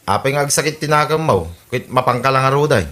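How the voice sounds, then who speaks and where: A woman's voice: a bass voice, animated, quick pace, a bit bright. A woman playing a sceptical, needling Ilocano husband in a comedy radio drama.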